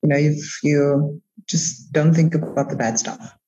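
A woman's voice: low-pitched, level tone, unhurried, mellow, a little hiss or hum. A woman chatting informally and encouragingly as a podcast guest, on slightly lo-fi audio. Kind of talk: casual conversational interview.

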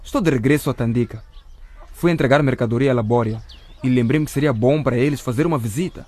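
An actor playing a friendly, crafty truck driver in a radio drama, speaking politely and placatingly. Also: male voice, mid-range voice, fast, darkish tone, natural voice. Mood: obliging.

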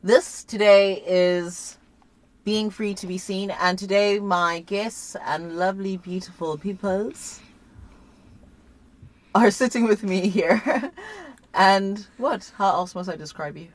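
A woman's voice: medium pitch, takes it slowly. A woman hosting a casual podcast chat, playful and laughing often. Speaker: podcast host.

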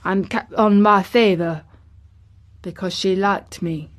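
A woman's voice: mid-range voice, steady tone, slow pace, natural voice. A woman voicing a crabbed but tender old gardener with a Yorkshire accent, dry and grumpy, in a storybook reading. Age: older.

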